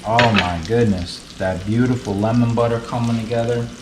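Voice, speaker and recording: A man from the United States hosting a relaxed, chatty cooking tutorial in his kitchen. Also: mid-range voice, moderate pace, background noise. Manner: relaxed, chatty, fond of saying beautiful. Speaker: cooking channel host. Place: kitchen with food frying on the stove. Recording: roomy sound, mic set at a distance, sizzling pan.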